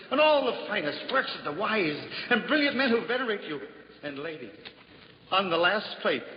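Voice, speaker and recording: An actor playing a humble devout juggler. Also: male voice, background noise, close to an everyday voice. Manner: earnest, self-deprecating, lively swings in pitch. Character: humble simple-hearted male juggler, devout. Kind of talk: 1950s radio drama acting. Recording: dull old radio broadcast with hall reverb and music.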